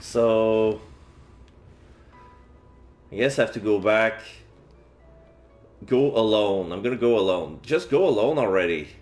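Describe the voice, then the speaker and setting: A man's voice: lowish voice, lively intonation, measured pace, pauses often, a little mellow. A man reading a visual novel aloud on a stream, with casual asides as he plays. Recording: faint music behind the voice. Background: game music.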